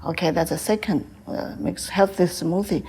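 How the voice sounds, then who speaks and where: An older woman from Taiwan with a Taiwanese accent, answering interview questions in halting English with frequent fillers. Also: fairly low pitch, dark tone, husky. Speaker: guest, tea house owner.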